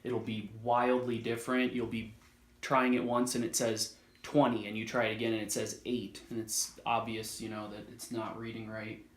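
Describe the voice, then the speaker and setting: A man's voice: fairly low pitch, flat delivery, moderate pace, echoey room. A man giving a casual product demonstration as a reviewer, thinking aloud in a loose, slightly hesitant way.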